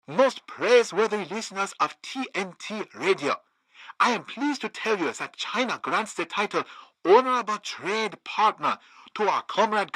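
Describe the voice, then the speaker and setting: An actor playing an effusive male Chinese envoy, giving a formal, florid and husky-voiced radio address in a satirical video game. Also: high-pitched, pushed and bright, dry recording, exaggerated voice, rough recording. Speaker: foreign envoy.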